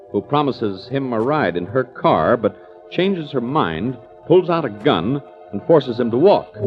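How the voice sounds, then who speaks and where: A man narrating as announcer of a 1940s radio show in a deliberate, dramatic delivery. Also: medium pitch. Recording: muffled old radio recording with music. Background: loud dramatic music.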